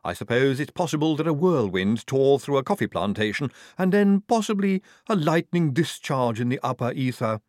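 Calm female army medic, male reader acting, learned and precise, slightly raised pitch, storybook. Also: normal speed, hardly any pauses, no room sound, studio quality, natural voice. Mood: reasoning.

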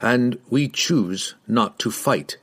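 Fantasy audiobook dark elf, read by a male narrator: composed, courteous, formal, mid-range voice. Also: medium pitch, unhurried. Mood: calm, conciliatory. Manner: formal, steady, diplomatic. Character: calm, measured male dark elf warrior.

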